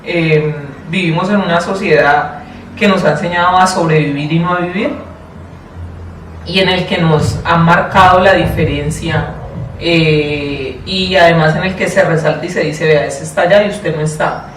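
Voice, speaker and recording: A woman with a Colombian accent answering interview questions in a thoughtful, deliberate way, often repeating and rephrasing herself. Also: fairly low pitch, fairly even intonation. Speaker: interview guest, public education official. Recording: roomy, distant mic, faint noise.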